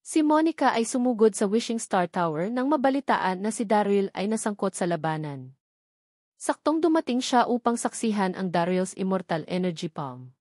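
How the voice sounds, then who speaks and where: A woman's voice: medium pitch, darkish tone, no room sound, clean studio sound. A woman reading a scripted story as an audiobook narrator.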